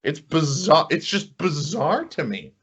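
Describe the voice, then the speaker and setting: Bright-voiced man, emphatic podcast host. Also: fairly high pitch, sing-song, a little slow, breathy edge. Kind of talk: casual podcast chat.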